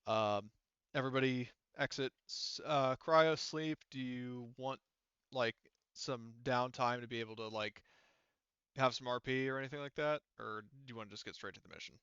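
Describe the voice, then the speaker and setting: A man working as game master, narrating a tabletop roleplaying game in an informal, halting way as he thinks aloud. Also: mid-range voice, fairly bright tone, clean tone, no echo, highs cut off.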